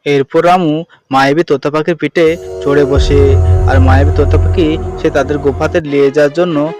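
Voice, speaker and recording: A man's voice: a little high, steady tone, moderate pace, over music. A man narrating a scripted cartoon story as a storyteller.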